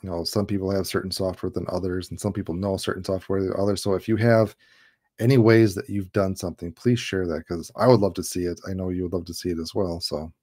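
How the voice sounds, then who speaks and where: A man's voice: low voice, level tone, quick pace, warm tone. Laid-back man hosting a video call. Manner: laid-back, jokey, laughs often. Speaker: livestream host. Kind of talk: casual livestream chat. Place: home room. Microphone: headset on a video call.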